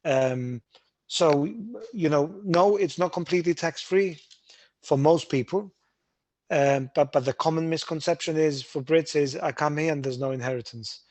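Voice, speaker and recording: A man hosting a webinar, explaining tax residency rules in a brisk, emphatic way with frequent restarts. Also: on the high side, darkish tone, no room sound. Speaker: webinar host, Gibraltar tax adviser.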